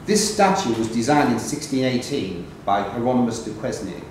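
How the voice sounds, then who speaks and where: A man's voice: mid-range voice, lively intonation, moderate pace, a little mellow. Male quizmaster reading lengthy questions with occasional stumbles; distant, very reverberant recording with faint noise. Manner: stumbles and corrects himself while reading. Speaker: quiz question reader. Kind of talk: reading long quiz questions aloud at a live event. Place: echoey indoor pub function room. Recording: very echoey noisy room, distant mic.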